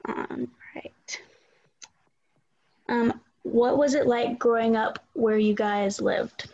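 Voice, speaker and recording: A woman's voice: fairly high pitch, steady tone, slow pace, creaky, darkish tone, highs cut off. A young woman conducting a student interview, reading polite, scripted questions.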